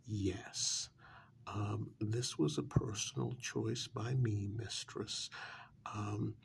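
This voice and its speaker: Man playing a very slow, creaky, soft-spoken servant. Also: lowish voice, steady tone, slight background noise, heavily acted. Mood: polite, hesitant. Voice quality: breathy, half-whispered, creaky soft voice. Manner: hesitant, halting, politely apologetic. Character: deferential, anxious male servant planning a monsters' ball. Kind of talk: soft-spoken ASMR role-play.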